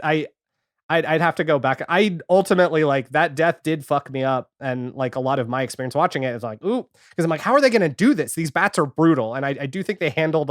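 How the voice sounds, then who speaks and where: A man in a casual, animated pop-culture chat as a podcast guest, talking informally and acting out imagined remarks. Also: mid-range voice, dry recording, studio quality.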